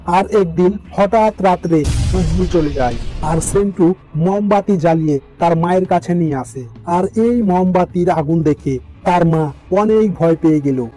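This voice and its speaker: A man's voice: sits high, warm tone, rough recording. A man narrating a scripted fairy tale as a storyteller.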